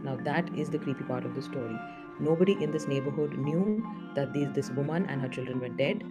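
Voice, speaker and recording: A woman with an Indian accent narrating a story as a podcast host, in a measured way that poses questions to build intrigue. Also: extremely low pitch. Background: music under the voice.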